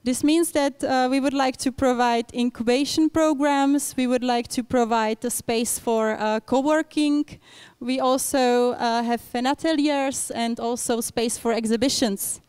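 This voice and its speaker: A young woman moderates a public debate in English, stopping often to search for words. Her voice is very clear and sits a little high. The sound is dry and close. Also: fairly even intonation, unhurried, a little mellow, no echo. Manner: frequent fillers and restarts, non-native English. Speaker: event organizer and moderator. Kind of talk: hesitant public speaking at a panel event.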